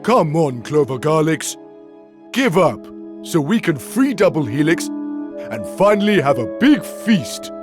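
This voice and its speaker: A man's voice: fairly high pitch, sing-song, unhurried, over music. A man playing a big, good-natured, dim Gaul warrior in a cartoon game, blunt and jovial. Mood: cheerful confidence. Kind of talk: video game cartoon acting.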